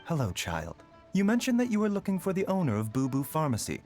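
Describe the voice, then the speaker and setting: A gentle young doctor from an anime game, light-voiced and formal. The speech is measured and courteous, moving expressively across a wide range. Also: male voice, on the high side, huge pitch swings, faint music behind. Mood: soothing, polite.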